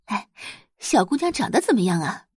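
A woman's voice: mid-range voice, very animated, normal speed, a little mellow, breathy edge, dry recording, clean studio sound. A woman voicing a curious middle-aged wife and mother who chats in exclamations and questions.

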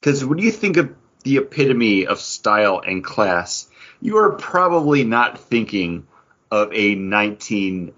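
A man's voice: lowish voice, pushed and bright, dull audio. A middle-aged man co-hosting a pop-culture podcast in casual, jokey chat. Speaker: podcast co-host.

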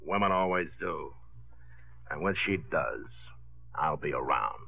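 Sour small-town newspaper editor. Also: male voice, very deep voice, animated, a little slow, vocal fry, faint music behind, heavily acted. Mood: disgusted. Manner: sour, blunt. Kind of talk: 1950s radio comedy acting. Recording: old radio recording, thin phone-like sound.

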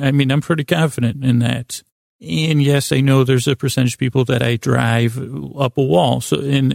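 Male podcast host, American, mid-pitched creaky voice, close dry sound; whimsical, halting bedtime rambling. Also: a little mellow, no echo. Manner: meandering, halting, frequent self-interruptions and tangents; self-deprecating, whimsical. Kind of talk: rambling sleep podcast monologue.

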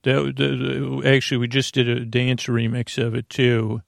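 A man hosting a bedtime podcast in a slow, creaky voice, wandering through gentle tangents and restarting his sentences. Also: medium pitch, completely flat delivery, no room sound, clean studio sound. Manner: slow, meandering, self-interrupting, gently joking. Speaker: sleep podcast host. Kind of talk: rambling bedtime monologue.

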